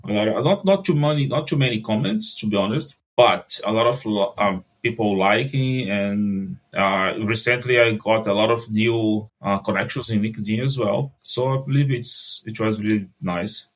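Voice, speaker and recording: A Brazilian man with a Brazilian Portuguese accent, answering interview questions in casual conversation, often stopping and restarting his phrases. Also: fairly low pitch. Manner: halting, restarts phrases, non-native grammar. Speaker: podcast guest, translator.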